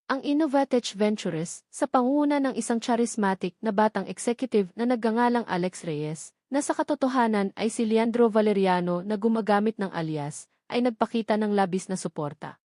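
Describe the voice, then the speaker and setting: A woman's voice: medium pitch, a little fast, mellow, no room sound, studio quality. A woman with a Filipino accent reading a scripted story as the narrator of an audio drama.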